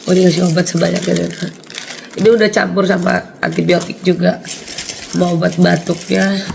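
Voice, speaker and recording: A woman in her forties, hoarse and raspy from a cold, chatting casually to her viewers and laughing often. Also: lowish voice, little pitch movement, brisk, darkish tone, a little hiss or hum. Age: 40s. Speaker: vlogger.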